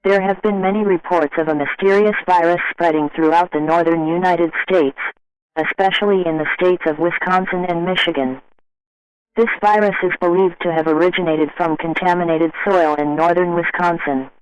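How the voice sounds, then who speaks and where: A smooth-voiced emergency alert announcer, played by a man with a high pitch. He reads formally and gravely, in measured phrases. The sound is dry and slightly dull, like a lo-fi broadcast. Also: fairly even intonation, no echo, lightly acted.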